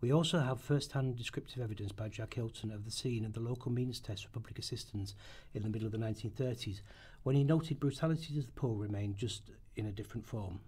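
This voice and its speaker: Male narrator reading, slightly husky. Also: mid-range voice, fast talker, few pauses, darkish tone. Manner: stumbles over words and rereads. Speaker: radio play narrator in rehearsal. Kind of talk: scripted narration read aloud in rehearsal.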